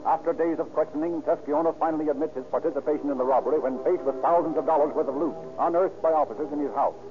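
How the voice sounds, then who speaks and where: An actor as a formal, dramatic narrator of a 1930s radio crime story. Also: male voice, fairly high pitch, steady tone, fast talker, music in the background, natural voice. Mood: urgent, formal. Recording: tinny old radio recording.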